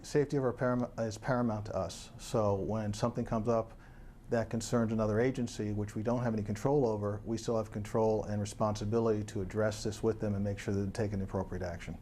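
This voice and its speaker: A man's voice: lowish voice, fairly even intonation, fast, mellow. A man speaking as an official in an unscripted news interview, with formal phrasing and faint noise behind him.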